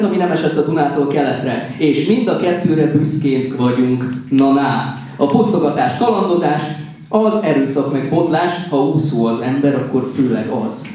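A man's voice: a little high, mellow, recorded from a distance. A man performing slam poetry with an impassioned, rhetorical, accusatory delivery. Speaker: slam poet. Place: live slam poetry stage at a competition. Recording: roomy, echoing live recording from afar.

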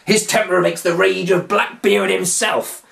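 A man's voice: high-pitched, fairly even intonation, creaky, a bit bright, voice pushed up, heavily acted. A man voicing a dirty, nasty old pirate henchman with a stage pirate accent, drawing words out menacingly. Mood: gloating. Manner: menacing, drawn-out delivery.

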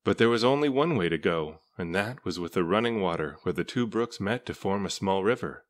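A man with a low voice narrates a children's storybook. He reads aloud in measured, deliberate phrases. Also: animated. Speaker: storybook reader.

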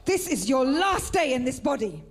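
A woman minister shouting commanding deliverance prayers into a handheld mic in a church hall. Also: sits high, very animated, moderate pace, slight background noise. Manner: commanding, repeated loud shouts.

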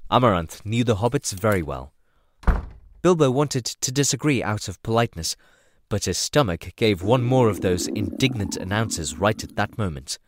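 A man's voice: on the low side, animated, normal speed, background noise. A man narrating a scripted fantasy story as the narrator of an audio drama.

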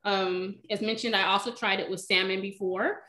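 A woman in her thirties answering cooking questions in a relaxed, chatty way on a video call from her home kitchen. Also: medium pitch, moderate pace. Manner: relaxed, chatty, explains by eye. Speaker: cooking demonstrator, recipe blogger. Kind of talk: casual live Q&A. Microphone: video call, webcam.

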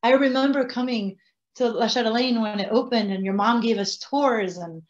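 Casting: woman in her forties, non-native English speaker, lively restaurateur, rambling, slightly dull call audio. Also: fairly high pitch, moderate pace. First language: French. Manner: animated, gestures, rambling asides. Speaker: bakery and restaurant owner. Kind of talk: casual panel talk. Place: home room, indoors. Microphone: video call.

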